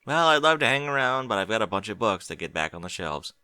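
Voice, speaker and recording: A man's voice: on the low side, very animated, quick pace, bright, forceful tone, clean tone, dry recording, studio quality, exaggerated voice. A man voicing a wisecracking young male dragon with a sarcastic, teasing manner. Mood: casual.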